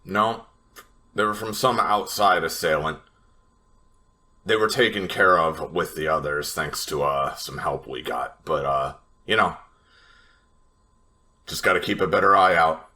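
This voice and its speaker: An evasive street tough played by a man in a tabletop game with an extremely deep voice and a hard, forceful edge. He talks hesitantly, downplaying things. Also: unhurried, long pauses.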